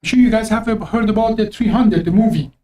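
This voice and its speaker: A man's voice: high-pitched, a little fast, warm tone. A man from Iran with an Azeri accent and Azeri Turkish as his first language, explaining history in a rambling, casual way with many restarts. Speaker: host. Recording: distant from the mic.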